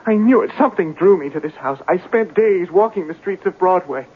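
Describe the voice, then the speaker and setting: High-pitched, distraught lovelorn man, old radio. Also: lively intonation, fairly quick, a little hiss or hum. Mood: fervent, desperate. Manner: fervent, repetitive, melodramatic. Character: distraught, obsessed man searching for his lost sweetheart. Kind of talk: 1970s radio drama acting. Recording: muffled narrow-band old radio broadcast.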